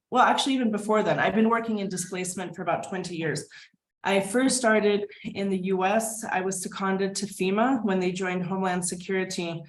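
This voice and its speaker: A woman from the United States, a permaculture designer talking as a guest on a video call, relaxed and thoughtful with frequent fillers. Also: medium pitch, a little hiss or hum. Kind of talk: conversational video-call interview. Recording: echoey room on a video call.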